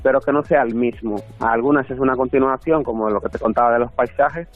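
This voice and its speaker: A man's voice: mid-range voice, brisk, no room sound. Dominican man on a thin, phone-like sound. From Dominican Republic. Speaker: interview guest, artist and designer. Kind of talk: conversational interview answers. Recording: thin phone-like band, faint music.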